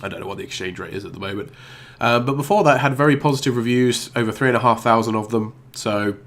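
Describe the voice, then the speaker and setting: A man's voice: mid-range voice, fast talker, fairly bright tone. A man with a blocked nose from a cold, hosting a casual gaming vlog, rambling and hesitant with many ums and restarts. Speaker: YouTube gaming channel host.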